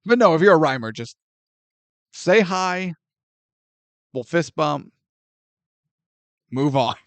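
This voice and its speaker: Animated, jokey man with a lively voice. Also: a little high, takes it slowly, dry recording. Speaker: podcast co-host. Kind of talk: casual film review chat.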